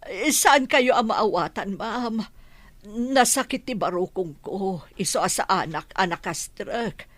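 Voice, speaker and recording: Ailing housemaid mother, slow delivery, distressed, radio drama. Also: medium pitch, animated, no echo. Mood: bewildered, distressed.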